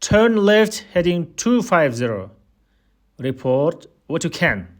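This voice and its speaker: Male instructor, high voice, unhurried and deliberate, reading scripted air traffic control phraseology for a listening drill. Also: very animated.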